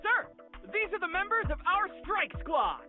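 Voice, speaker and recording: An actor playing an eager young male sidekick in a game, polite and excitable. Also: very high-pitched, normal speed. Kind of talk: animated game voice acting. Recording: thin phone-like sound, music behind.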